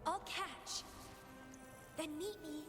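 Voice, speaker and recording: A woman voicing a proud, unstable, haunted young woman in a video game, with breathy, theatrical delivery. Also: extremely high pitch, fairly even intonation, slow. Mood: teasing. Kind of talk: dramatic video game voice acting. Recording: game music behind the voice.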